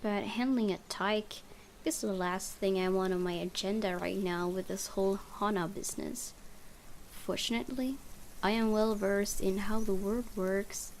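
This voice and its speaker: A woman reading visual novel narration aloud for a let's play, with expressive, theatrical storytelling. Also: mid-range voice, normal speed, a little hiss or hum. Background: music.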